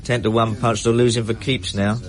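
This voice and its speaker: An actor playing a sardonic, grumbling English police sergeant with colloquial speech in a radio drama. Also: male voice, medium pitch, level tone, fairly quick, a crowd in the background, close to an everyday voice. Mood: grumbling, scornful.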